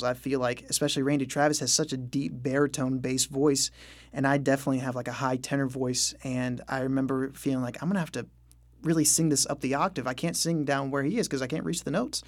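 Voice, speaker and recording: A young man, a musician, talking candidly in an interview, self-deprecating and breaking into light chuckles. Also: medium pitch. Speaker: musician guest. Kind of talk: casual interview talk.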